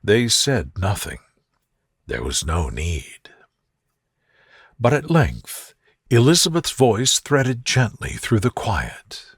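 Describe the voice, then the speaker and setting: Very slow, husky male bedtime narrator. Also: on the low side, wildly swooping pitch, no echo, studio quality. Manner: soft, gentle, unhurried. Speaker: sleep story narrator. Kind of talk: soothing scripted bedtime narration.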